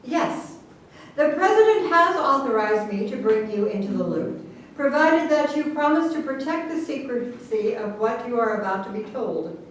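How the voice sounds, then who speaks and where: Distant echoey recording, woman playing earnest government official, pompous lecturing, deliberate emphasis, staged satire. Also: a little high, animated, close to an everyday voice. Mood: formal, secretive. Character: government official earnestly explaining absurd secret science. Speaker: actor in a staged reading. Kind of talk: theatrical staged reading of a satirical play. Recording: very echoey room, distant mic, faint noise.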